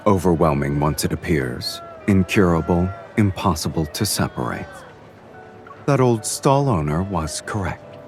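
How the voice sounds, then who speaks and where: Give the scene a powerful male fiend of the underworld. His voice is very deep and he speaks slowly, with cool, teasing understatement. It is romantic fantasy game dialogue with light music underneath. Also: expressive. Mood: cool, amused.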